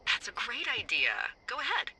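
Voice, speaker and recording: An actress playing a wry, bossy, foul-mouthed fire lookout supervisor in a video game, teasing and casual over a handheld radio. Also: female voice, a little high, wildly swooping pitch, normal speed, pushed and bright, slight background noise, full character voice. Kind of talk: naturalistic video game dialogue.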